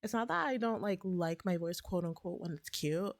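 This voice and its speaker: A woman streamer in casual, rambling chat, her voice a bit raspy as if she is getting sick, with lots of fillers and restarts. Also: fairly low pitch, animated, moderate pace, slight background noise. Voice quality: slightly raspy.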